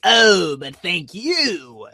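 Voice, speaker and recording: A man's voice: high voice, very animated, very slow, gravelly, sharp tone, no echo, clean studio sound, pitched above the performer's own voice, full character voice. A man voicing a stiffly formal, menacing creature with pompous, ornate politeness in a comic script reading. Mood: formal, courteous.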